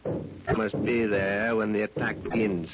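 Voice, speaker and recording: A man's voice: fairly low pitch, flat delivery, measured pace, lightly acted. A man playing a cold scheming villain. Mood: commanding. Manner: cold, commanding orders. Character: scheming adult male villain plotting a raid. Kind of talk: 1930s radio drama acting. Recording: dull 1930s radio recording, faint hiss.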